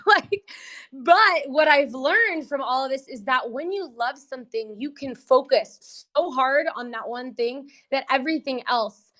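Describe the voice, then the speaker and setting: A woman's voice: high-pitched, unhurried, a bit bright. A woman from the United States whose first language is Portuguese, telling her story as a podcast guest in an upbeat, chatty way. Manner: upbeat, chatty, laughs between points. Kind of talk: casual interview storytelling. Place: in Brazil, remote.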